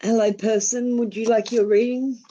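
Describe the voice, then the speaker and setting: A woman's voice: on the high side, flat delivery, normal speed, dark tone, lo-fi sound. A woman giving a casual live tarot reading, offering blunt, informal advice with some swearing. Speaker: tarot reader and live stream host.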